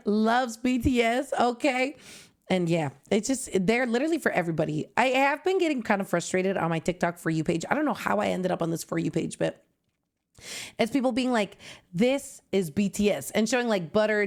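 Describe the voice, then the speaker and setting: A woman in her twenties from Canada, chatting casually and animatedly as a reaction video host, swooping high and dropping low.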